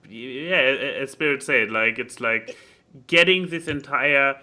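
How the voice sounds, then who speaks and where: A man co-hosting a casual podcast, speaking in a halting, stammering way. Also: mid-range voice, sharp tone. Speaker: podcast co-host.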